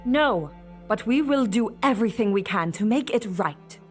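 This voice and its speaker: A woman acting a calm, reassuring mentor with measured, formal speech in an audio drama. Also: a little high, faint music behind, close to an everyday voice.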